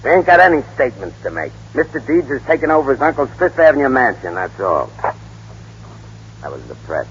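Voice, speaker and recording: An actor playing a gruff, wisecracking press handler, blunt and slangy, in old-time radio drama. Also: male voice, medium pitch, big pitch swings, brisk, natural voice. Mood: brusque. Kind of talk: 1930s-40s radio drama acting. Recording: dull, noisy old radio broadcast.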